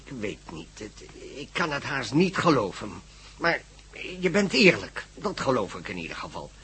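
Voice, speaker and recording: A man's voice: mid-range voice, normal speed, husky, exaggerated voice. Een man die in een hoorspel een sceptische maar loyale collega speelt, aarzelend en snel opgewonden. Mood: doubtful. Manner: hesitant, conversational, excitable. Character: sceptical but loyal, hesitant, excitable male colleague. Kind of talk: Dutch radio play acting.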